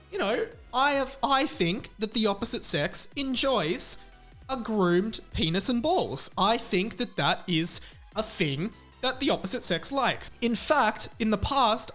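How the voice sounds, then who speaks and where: High-pitched man, chatty and frank. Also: fairly bright tone. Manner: informal, frank, emphatic repetition. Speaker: podcast host. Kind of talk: casual podcast talk and chatty ad read.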